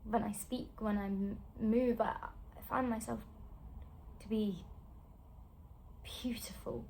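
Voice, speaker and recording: Halting, weary young actress, slow and fragile, acted by a woman. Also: a little high, steady tone, a little mellow, a little hiss or hum. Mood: fragile elation. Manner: halting, broken phrases with long pauses. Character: weary, fragile young actress, wounded yet striving for faith. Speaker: actress performing a monologue. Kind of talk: naturalistic screen-acting stage monologue.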